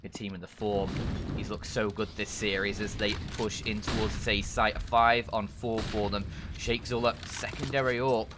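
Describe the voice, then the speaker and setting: A man casting an esports match live, play-by-play, rising to shouts at big plays. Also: lowish voice, level tone, normal speed, background noise.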